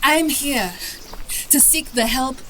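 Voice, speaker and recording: A woman playing a grave, defiant whistleblower on the run in an earnest, deliberate motion comic drama. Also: high-pitched, pushed and bright, a little hiss or hum, heavily acted. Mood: grave, resolute.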